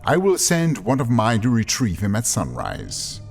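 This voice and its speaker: A man playing a cold, commanding lord in an audio drama, speaking formally and with measured authority. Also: on the low side, huge pitch swings, heavily acted. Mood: lordly command. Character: cold, commanding English-style lord who buys servants. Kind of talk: scripted audio drama acting. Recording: music behind the voice.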